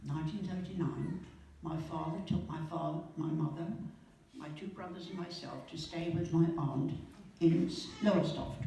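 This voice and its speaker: A woman, telling her wartime memories to a church congregation in a halting way, with pauses and throat-clearing. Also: sits low, warm tone. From Norwich, England. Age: 80s. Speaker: guest speaker sharing memories. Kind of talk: personal storytelling to a congregation. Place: church hall. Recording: echoey church hall from far off.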